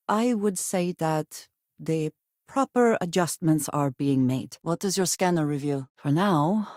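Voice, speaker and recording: Guarded woman companion, sci-fi video game, formal measured phrasing, dry wary temper, studio-dry sound. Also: a bass voice, a little mellow, no echo.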